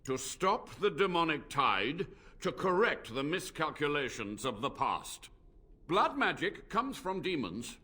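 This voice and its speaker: An actor playing an ancient, unrepentant blood mage in a fantasy video game, speaking in a grave, formal, theatrical way. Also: male voice, a little high, big pitch swings, unhurried, sharp tone, husky, heavily acted.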